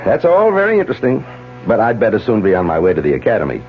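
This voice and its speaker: Low-voiced, skeptical academic doctor. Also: male voice, wildly swooping pitch, quick pace, a little hiss or hum. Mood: skeptical, uneasy. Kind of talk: 1970s radio drama acting. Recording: muffled 1970s radio broadcast, faint music.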